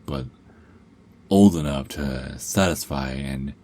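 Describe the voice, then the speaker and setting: A man's voice: very deep voice, slow, darkish tone. A man playing a cocky, sarcastic young adult werewolf held captive, in an ASMR roleplay with a taunting, sardonic drawl. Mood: sardonic, coy.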